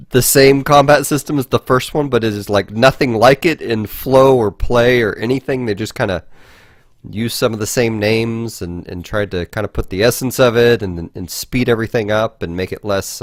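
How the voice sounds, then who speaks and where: Lowish-voiced man, dry close sound. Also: moderate pace, no room sound. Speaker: podcast host. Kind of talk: casual video game discussion.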